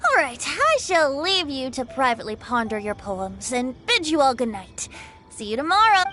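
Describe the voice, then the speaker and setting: Breezy young male bard for a game: high, lively, lilting, teasing. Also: moderate pace, background noise. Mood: cheerful. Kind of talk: animated video game voice acting.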